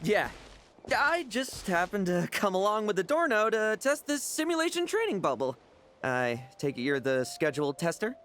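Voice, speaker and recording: A woman's voice: medium pitch, very animated, normal speed, a bit bright. A woman voicing a cocky, swaggering young male fighter in a video game, teasing and nonchalant.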